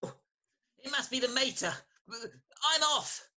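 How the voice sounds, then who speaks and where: An actor with an English accent playing a bumbling, hungover young gentleman in a theatrical farce, hesitant and stammering. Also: sits high, lively intonation, slow, noisy background. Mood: earnest.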